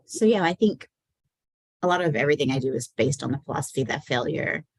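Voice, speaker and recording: Low, creaky-voiced woman poet. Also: animated, fast, no room sound. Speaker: poet and anthology editor, guest. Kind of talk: poetry reading and conversational Q&A.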